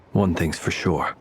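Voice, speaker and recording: Low, measured team leader. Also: male voice, a little hiss or hum. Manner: measured, decisive, authoritative. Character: calm, shrewd male adventurer leading a team. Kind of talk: video game voice acting.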